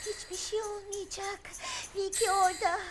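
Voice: very high-pitched, little pitch movement, moderate pace. A performer playing a timid, trusting little Viking girl in a dubbed children's cartoon. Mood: frightened, trusting. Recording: loud music behind the voice.

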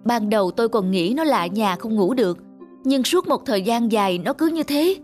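A woman voicing a kind, anxious young female dog adopter in a cartoon, with an expressive, earnest delivery. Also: fairly high pitch, normal speed, faint music behind, lightly acted. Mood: worried. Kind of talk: animated cartoon dubbing.

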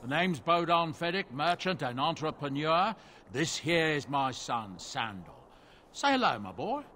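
A man's voice: on the high side, sing-song, measured pace, bright, forceful tone, a little hiss or hum, heavily acted. An actor playing a courteous, chatty middle-aged dwarf merchant with a salesman's patter in a fantasy video game. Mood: friendly, grateful.

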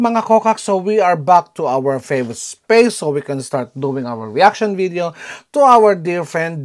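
A man in his thirties with a Filipino accent hosts a casual reaction vlog from his bedroom, gushing with frequent ums and exclamations. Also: fairly high pitch. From Philippines. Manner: excitable, gushing, frequent "um" and "oh my God". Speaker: reaction video host. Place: bedroom at home.

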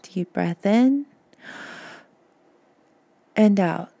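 A woman guiding a meditation in a soft, soothing, gentle voice, breathy at times, with unhurried pauses. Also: mid-range voice, lively intonation, dry recording. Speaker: podcast host and meditation guide. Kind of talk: guided meditation narration.

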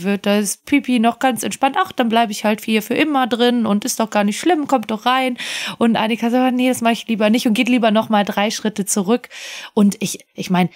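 A woman in a casual discussion, thinking aloud and rephrasing as she goes. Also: fairly high pitch. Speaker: podcast panelist.

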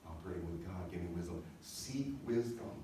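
A man's voice: low-pitched, animated, fairly quick, dark tone. A man in his thirties preaching a sermon as a pastor, teaching conversationally with repeated points and check-in questions, in a church. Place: church sanctuary, speaking from the stage. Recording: echoey church hall, distant mic, faint noise.

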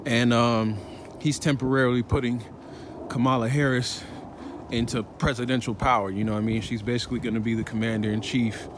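A man's voice: medium pitch, steady tone, fairly quick. Guy walking and preaching into his phone outside, lots of "all right? man", street noise faint behind. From Chicago, United States. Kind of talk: walking vlog commentary. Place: city sidewalk outdoors, walking. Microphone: phone held while walking. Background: faint traffic and street ambience.

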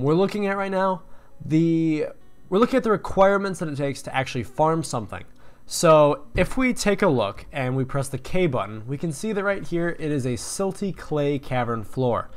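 Casual young man explaining a game. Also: on the high side. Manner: casual, explanatory, with fillers and restarts. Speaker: game tutorial host. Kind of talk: screen-recorded game tutorial.

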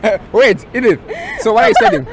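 A man's voice: sits high, wildly swooping pitch, fairly quick. A man in his twenties presenting a casual vlog and doing playful, teasing interviews outdoors with a handheld mic. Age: 20s. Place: open beach, outdoors. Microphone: small handheld mic. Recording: outdoor wind and ambient noise.

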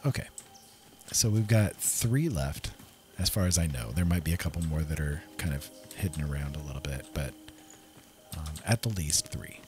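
An easygoing man with a low voice commentates casually on a game. He muses to himself as he plays, with prominent game music running behind him. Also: a little mellow. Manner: easygoing, musing, talks to himself. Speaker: gameplay host. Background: game music and sounds.

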